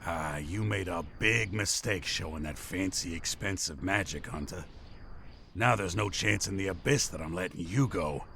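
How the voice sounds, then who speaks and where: A man's voice: deep voice, normal speed, vocal fry, bright, forceful tone, a little hiss or hum, full character voice. A man playing a scheming, theatrical bandit ringleader in a fantasy visual novel. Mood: menacing, smug. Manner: theatrical, pleading then threatening. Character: scheming bandit ringleader posing as frantic merchant.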